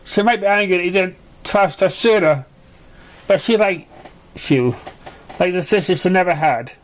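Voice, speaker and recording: A man's voice: sits high, normal speed, voice pushed up, close to an everyday voice. A man voicing a loyal, concerned young girl pony questioning a friend in a story reading. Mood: concerned, encouraging.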